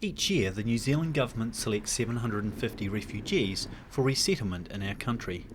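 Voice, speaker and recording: A man's voice: fairly low pitch, brisk, noisy background. A man reading scripted documentary narration. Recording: music playing behind the voice. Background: music.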